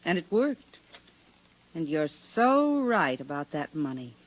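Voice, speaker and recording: A woman's voice: low-pitched, very animated, slow, heavily acted, rough recording. An actress playing a cool, menacing female schemer in a 1950s radio drama. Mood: coldly menacing. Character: cold scheming female gun-wielding schemer.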